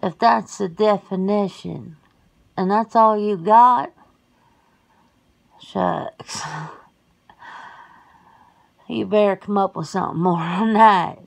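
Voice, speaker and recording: Woman doing a feisty, gravelly Southern werewolf woman. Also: mid-range voice, takes it slowly, pauses often. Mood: indignant, sassy. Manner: folksy, blunt, exclaiming. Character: feisty, folksy, homebody werewolf woman, centuries old, suspicious. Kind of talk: ASMR roleplay.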